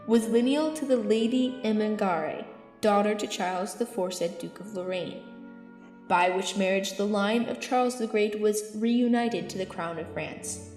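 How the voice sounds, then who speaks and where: A woman's voice: mid-range voice, moderate pace, darkish tone, lightly acted. A woman acting a learned, earnest archbishop in an amateur Shakespeare audio drama, with formal, declamatory delivery. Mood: earnest, lecturing. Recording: echoey hall, music behind.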